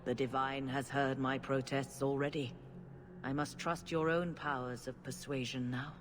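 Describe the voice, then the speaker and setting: A woman playing a solemn, cautious senior churchwoman in a fantasy video game, with formal, measured delivery. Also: very deep voice, flat delivery, background noise.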